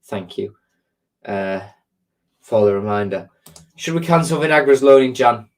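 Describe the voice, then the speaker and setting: A man's voice: mid-range voice, lively intonation, a little slow. A man hosting a live stream, chatting casually and answering viewer comments, with a sore throat and blocked nose, talking in a rambling way with lots of ums and repeated words.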